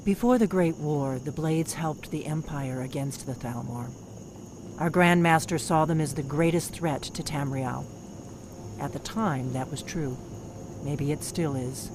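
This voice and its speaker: A woman's voice: measured pace, background noise. A woman voicing a secretive, middle-aged veteran of an old order of guards, speaking in a blunt, conspiratorial video game dialogue. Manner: blunt, conspiratorial, low intense delivery. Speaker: game character. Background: game music.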